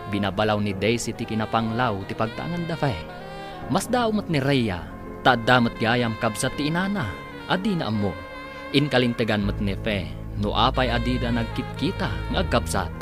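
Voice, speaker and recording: A man delivering scripted dramatic narration as a radio drama announcer. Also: mid-range voice. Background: music.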